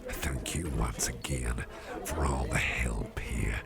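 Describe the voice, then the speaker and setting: A man playing a friendly, rambling boss in an ASMR roleplay, chatting personally to the listener in a deep, gravelly voice. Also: full character voice. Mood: warm, flirty. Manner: rambling, chummy, halting, repeats phrases. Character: friendly, rambling male boss at a Halloween party. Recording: music playing behind the voice.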